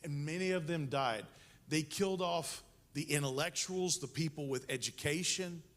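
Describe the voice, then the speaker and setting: A man's voice: on the high side, normal speed, a bit bright. A man in his fifties explaining a country's history in a conversational way during a missions talk at church. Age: 50s. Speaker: missionary speaker. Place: church sanctuary. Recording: long church hall reverb.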